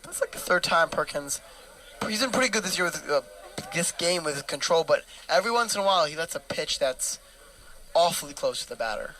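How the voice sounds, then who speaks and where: A male color analyst speaks quickly and conversationally about game strategy. His voice is bright and pitched a touch high. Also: lively intonation, poor audio. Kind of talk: baseball color commentary.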